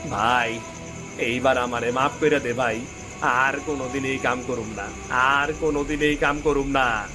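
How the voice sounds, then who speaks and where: A man acts out a sly, envious village thief from a cartoon folk tale. He speaks a rural Bangladeshi Bengali dialect very slowly, in a mid-pitched wheedling voice, with music clearly playing underneath. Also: steady tone, natural voice. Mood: pleading. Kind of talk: cartoon moral story acting.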